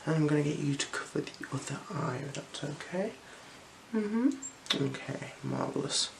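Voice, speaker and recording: A person with a slight cold role-playing an eye examiner in a gentle, unhurried ASMR style, half whispering and murmuring little hums between short phrases, close to the microphone. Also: mid-range voice, lively intonation, creaky, slight background noise. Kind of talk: ASMR roleplay, soft-spoken and whispered.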